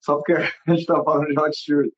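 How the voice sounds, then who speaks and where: A man's voice: fairly high pitch, little pitch movement, a little fast. A young man from Brasília hosting a casual interview, rambling with many fillers and restarts and laughing often. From Brazil, Brasília. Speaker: podcast host. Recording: dull lo-fi audio.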